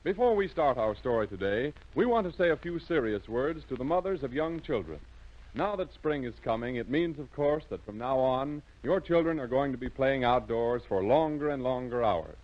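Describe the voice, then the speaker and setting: Formal old-time American radio announcer, muffled. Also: male voice, mid-range voice, expressive. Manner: formal, measured, carefully enunciated. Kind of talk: 1940s radio sponsor announcing, scripted. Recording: muffled vintage radio broadcast.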